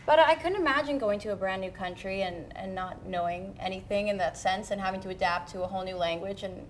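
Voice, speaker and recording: A woman's voice: mid-range voice, fast, slight background noise. A young woman from western Canada hosting a casual TV studio chat, upbeat and asking questions. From British Columbia, Canada. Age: young adult. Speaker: host. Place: small TV studio.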